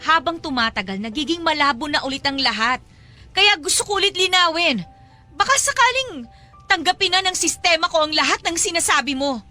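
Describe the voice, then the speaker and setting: An actress playing a hurt, defiant young mistress in an emotional Tagalog audio drama, pleading and confrontational. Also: female voice, extremely high pitch, expressive, fairly quick, pushed and bright, faint music behind, heavily acted. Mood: bitter, hurt.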